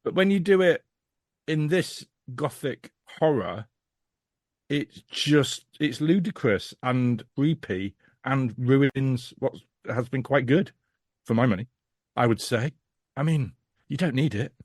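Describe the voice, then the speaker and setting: A man talks casually as a podcast host reviewing something, in a mid-pitched voice with very little room sound. He is emphatic and exasperated, telling it in an animated, storytelling way. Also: takes it slowly, no echo.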